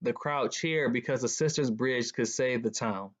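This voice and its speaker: A man's voice: medium pitch, normal speed. A man reading a children's picture book aloud as a guest reader. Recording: dull, lo-fi sound.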